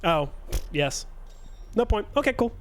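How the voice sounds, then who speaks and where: A man's voice: sits high, sing-song, a little slow, a little hiss or hum. A young man streaming a game live, chatting with his viewers in a joking, self-mocking way. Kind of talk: casual live-stream chat while playing.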